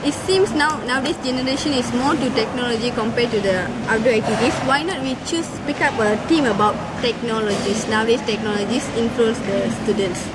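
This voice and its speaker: Brief: Malaysian woman, twenties, brisk, high voice, loud noise. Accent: Malaysian English. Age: 20s. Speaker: student. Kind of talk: casual group discussion. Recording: loud background noise, traffic and air conditioning.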